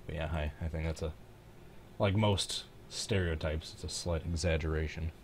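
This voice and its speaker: A man with a very low voice and a Midwestern American accent hosts a casual live stream. He chats and reacts to a race in an offhand way, with noticeable noise behind him. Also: steady tone, moderate pace.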